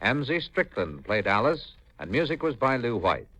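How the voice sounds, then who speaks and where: A man's voice: mid-range voice, big pitch swings, moderate pace, a bit bright, no echo, poor audio. A man reading scripted announcements in the style of a 1950s radio announcer.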